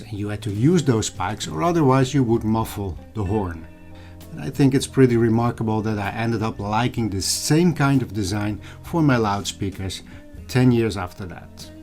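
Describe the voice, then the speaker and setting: A man's voice: fairly low pitch, darkish tone. Middle-aged man narrating over music. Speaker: video channel host. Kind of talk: scripted vlog narration over old photos and footage. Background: music, guitar.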